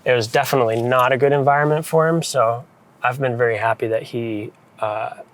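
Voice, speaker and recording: A man's voice: medium pitch, fairly even intonation, brisk, a bit bright. An American man from New England chatting casually and thoughtfully as an interviewed photographer, speaking informally. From United States, New England. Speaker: photographer and director being interviewed. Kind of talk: casual reflective chat.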